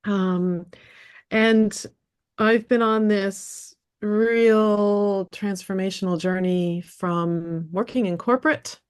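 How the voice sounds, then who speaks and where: A woman's voice: medium pitch, steady tone, takes it slowly, smooth. A woman with an upstate New York accent, a wellness coach speaking as an interview guest in an earnest manner. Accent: American, upstate New York. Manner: earnest, laughs easily. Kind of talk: conversational interview.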